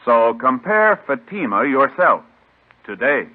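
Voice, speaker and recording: A man doing formal 1950s radio announcing in a measured, declamatory way. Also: medium pitch, very animated. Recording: tinny old radio recording, music behind.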